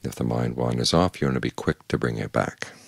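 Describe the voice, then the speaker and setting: Very deep gravelly older monk. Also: male voice, no room sound. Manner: calm, measured, plain-spoken teaching. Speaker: Buddhist monk giving a Dhamma talk. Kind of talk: evening meditation talk.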